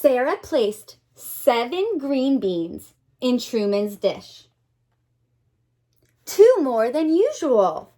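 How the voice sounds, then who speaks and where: A woman teacher reads a picture book aloud to children in an expressive, dramatic way, with pauses and asides to her young listeners. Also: high-pitched.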